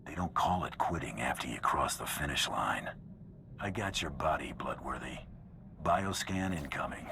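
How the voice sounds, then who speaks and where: An actor playing a gruff, cocky bounty hunter in a video game, speaking tersely with quiet menace. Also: male voice, very deep voice, lively intonation, moderate pace, background noise. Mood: cool, curt. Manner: terse, tough.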